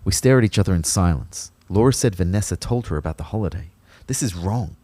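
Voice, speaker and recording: A man's voice: sits low, expressive, quick pace, dark tone, dry recording. A man playing an anxious, lovelorn dead young man, narrating his limbo introspectively in the first person for an audio drama. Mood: subdued, uneasy. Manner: introspective, intent.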